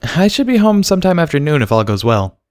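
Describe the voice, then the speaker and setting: Storybook pony mother, voiced by a man: lifted pitch, caring yet stern, motherly. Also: very animated, fast, darkish tone, no room sound, studio quality. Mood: motherly, pleading.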